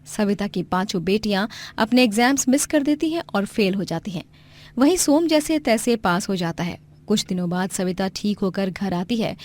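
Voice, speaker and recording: A woman reading scripted narration for a moral story. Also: mid-range voice.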